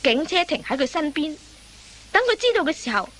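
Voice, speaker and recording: A woman's voice: high voice, big pitch swings, a little fast, a bit bright. A woman narrating a scripted radio comedy drama as a storyteller.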